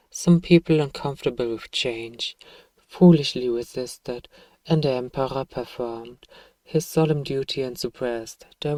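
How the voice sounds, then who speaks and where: A man playing an evil emperor who is a soft-spoken, paternal and self-righteous father, speaking gently and formally in an ASMR bedtime role-play. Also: mid-range voice, no room sound, close to an everyday voice. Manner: gentle, measured, formal, persuasive.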